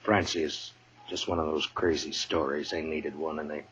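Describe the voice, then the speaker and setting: An actor playing a stern, weary Old West lawman with terse, deliberate American speech in an old-time radio western. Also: very deep voice, steady tone, fairly bright tone, husky, full character voice. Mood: quiet, weary. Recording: dull, muffled old radio recording.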